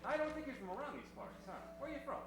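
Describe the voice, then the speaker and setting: A man's voice: very high voice, very animated, racing, very noisy. A man playing an eager, plucky young shoeshine boy in an improvised old-time radio show, with folksy exclamations. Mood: chirpy. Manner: folksy, exclamatory, gee-whiz. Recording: roomy, loud music behind.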